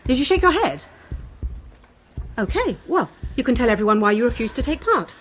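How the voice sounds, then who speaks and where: An actress playing a bossy, patronising, falsely upbeat job-training course leader in a radio play, brisk and condescending. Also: female voice, on the high side, very animated, a little hiss or hum. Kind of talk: naturalistic radio play acting.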